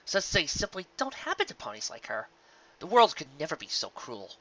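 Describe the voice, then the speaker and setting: A narrator reading a scripted story aloud with an expressive, dramatic storytelling delivery. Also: medium pitch, brisk, highs cut off. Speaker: audiobook narrator.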